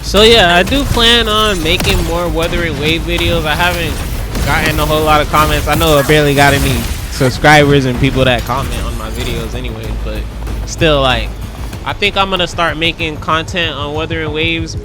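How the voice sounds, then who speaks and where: A man with a slightly high voice chats through a video game in loose, slangy language. He speaks straight to his viewers in an informal way, with game music clearly playing behind him. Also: steady tone, brisk. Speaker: gaming content creator. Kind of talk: casual gameplay commentary.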